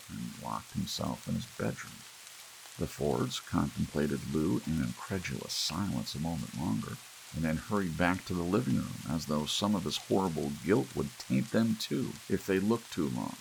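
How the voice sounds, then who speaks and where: A man reading a story aloud as an audiobook narrator, in a relaxed bedtime style. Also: extremely low pitch, normal speed, vocal fry, poor audio. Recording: faint hiss and rain sound. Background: soft rain.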